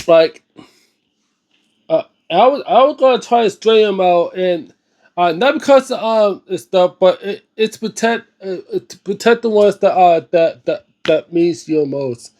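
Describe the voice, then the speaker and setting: High-voiced man, halting and earnest. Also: a little mellow. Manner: halting, repetitive, searching for words. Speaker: host of a book reading and discussion series. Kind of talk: unscripted casual video commentary.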